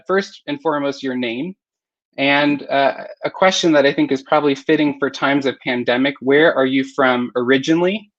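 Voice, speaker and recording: A man moderating an online panel, speaking steadily with frequent pauses and fillers. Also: medium pitch, dry recording, lo-fi sound.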